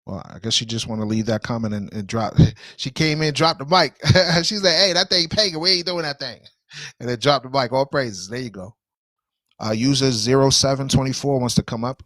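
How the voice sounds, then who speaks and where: A man's voice: medium pitch, a little fast, a bit bright, no room sound. A man hosting a call-in radio show, greeting callers and urging them on with energy. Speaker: host.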